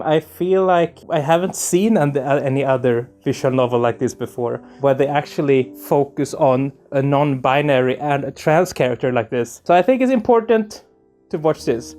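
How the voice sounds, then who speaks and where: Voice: medium pitch, a little mellow. A game streamer with an informal, candid, somewhat hesitant way of talking, chatting casually and reading visual novel text aloud. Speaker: host of a visual novel playthrough channel.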